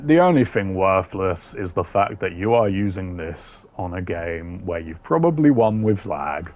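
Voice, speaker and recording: Male gaming commentator: lowish voice, casual, blunt, slangy, opinionated. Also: sing-song, unhurried, a little mellow. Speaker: gaming video creator. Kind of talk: casual opinionated commentary ranking game voice lines.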